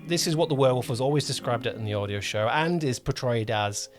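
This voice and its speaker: A man with an average-pitched voice chats as a podcast host. He is emphatic and often repeats words to stress a point. Faint music plays behind him. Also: fast talker. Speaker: podcast host, audio drama producer and director. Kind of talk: casual podcast chat.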